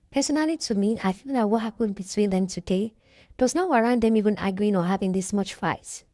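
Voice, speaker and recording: A woman's voice: medium pitch, warm tone, no echo, clean studio sound. A woman giving casual, opinionated commentary on a reality show, often seeking agreement from her viewers and laughing between points.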